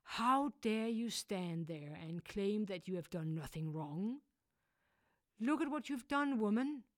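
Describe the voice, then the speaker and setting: A woman voicing a stern, cold male church dean who scolds loudly. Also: sits high, animated, takes it slowly, dry recording, clean studio sound, pitched above the performer's own voice. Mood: condemning. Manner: harsh, scolding, loud. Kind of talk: audiobook character voice.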